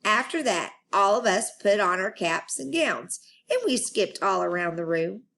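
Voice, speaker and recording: A woman storyteller reading a children's book aloud in an expressive style. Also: mid-range voice, unhurried, creaky.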